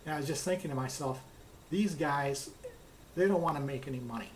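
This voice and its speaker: Male, slightly high voice, plainspoken casual storyteller recounting who said what, with faint background noise on the recording. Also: fairly quick. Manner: plainspoken, recounts dialogue with "he says, I says". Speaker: car customer telling his experience.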